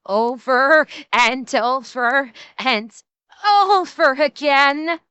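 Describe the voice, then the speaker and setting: A woman's voice: sits high, drawn-out words, bright, forceful tone, clear, resonant tone, dry recording. A woman streamer giving crude, profane, laughing reaction commentary.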